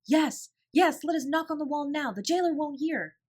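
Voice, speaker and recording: A woman narrator acts out an eager young schoolgirl in a children's classic. The voice is raised and high, with an emphatic, gushing delivery and an open, generous temper. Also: lively intonation, moderate pace, darkish tone. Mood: excited.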